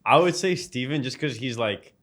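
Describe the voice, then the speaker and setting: A man's voice: medium pitch, animated, moderate pace, a bit bright. A young man whose first language is Russian, a podcast guest answering in a laid-back way that trails off. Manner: laid-back, trails off, bursts into laughter telling stories. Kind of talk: casual podcast interview.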